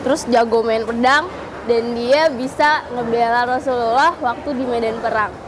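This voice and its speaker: A woman's voice: on the high side, steady tone, moderate pace, noisy background. A woman of twenty-three, chatting informally about her own life in an interview. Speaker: interviewee, social media strategist. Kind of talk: casual personal testimony.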